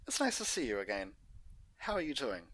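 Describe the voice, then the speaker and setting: A man voicing a polite female pony doctor from a story, speaking in a measured, formal, reassuring way. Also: medium pitch, wildly swooping pitch, fairly bright tone, pitched above the performer's own voice. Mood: courteous.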